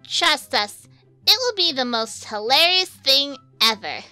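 A woman's voice: high voice, lively intonation, unhurried, bright, forceful tone, no echo, soft background music, heavily acted. A woman voicing a flirty, teasing, mischievous female hedgehog in a comic dub. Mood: gleeful teasing.

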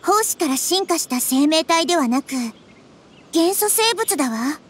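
A woman voicing a wise, gentle child-like nature deity in an anime game, calmly and thoughtfully explaining in a soft girlish voice. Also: very high-pitched, a little slow, full character voice. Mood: thoughtful, intrigued.

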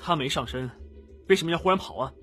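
A man's voice: a little high, fast, bright, forceful tone, faint music behind, voice pushed up. A man playing a curt, suspicious young swordsman. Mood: suspicious, menacing. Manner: curt, commanding. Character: suspicious, hot-tempered young male swordsman.

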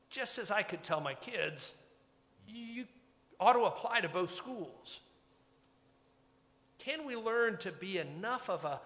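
A man's voice: high voice, pushed and bright. A man, preaching a sermon from a pulpit in a church sanctuary in a conversational, wry and reflective way. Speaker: pastor. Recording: long church reverb.

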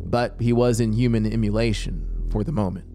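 A man in his thirties giving a dramatic, deliberate story narration into a close studio microphone. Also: fairly low pitch, fairly even intonation, warm tone. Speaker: audiobook narrator. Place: small home music studio. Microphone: close studio microphone with headphones. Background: synthesizer soundscape music.

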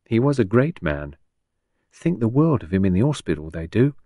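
A man's voice: lowish voice, big pitch swings, normal speed, no room sound, highs cut off. A man voicing a shrewd, blunt old working-class woman patient in an audiobook, chatty and colloquial with folksy endearments. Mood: fond, admiring. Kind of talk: audiobook character dialogue.

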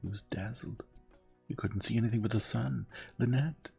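Guy doing a desperate young woman, bitter, high-pitched, hushed breathy bits. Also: normal speed, faint music behind, heavily acted. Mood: passionate. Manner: intense, breathy whispers between outbursts.

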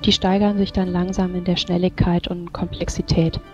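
A woman's voice: lowish voice, level tone, moderate pace. A woman narrating a tabletop roleplaying game as game master. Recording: slightly dull, music behind. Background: soft music.

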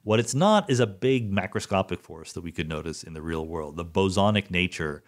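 Low, animated man explaining things casually. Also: fairly quick. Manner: conversational, often checking with "right?". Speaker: podcast host answering listener questions.

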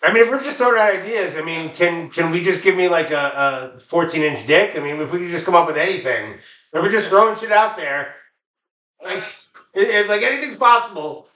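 A man with a high voice trades casual jokes with friends. The tone is bright and forward, and the living room adds clear echo around his words. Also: a little fast. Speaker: comedy show co-host. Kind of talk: casual joking banter among friends.

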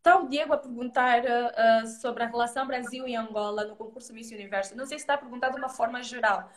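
A woman hosts a live online chat in a fairly high voice. She often hesitates, fills pauses with hums and breaks in to agree. Her call audio sounds roomy. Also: fairly bright tone. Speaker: host of a live show. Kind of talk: casual live video-call interview. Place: indoors, plain magenta wall. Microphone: video call from a laptop.

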